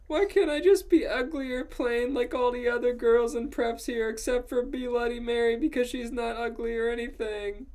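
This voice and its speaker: A woman's voice: a little high, steady tone, moderate pace, few pauses, a little mellow, pitched above the performer's own voice, close to an everyday voice. A woman voicing a vain, angsty gothic teenage girl in a whiny, overwrought way for a mock fan fiction reading.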